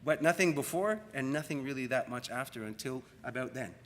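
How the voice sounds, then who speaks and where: A middle-aged man with a Canadian accent giving a public academic lecture in a reflective, deliberate manner, repeating phrases for effect. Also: medium pitch, animated, fairly bright tone. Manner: reflective, rhetorical questions and deliberate repetition. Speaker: university lecturer in media studies. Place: large room at a university colloquium. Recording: echoey hall with long reverb.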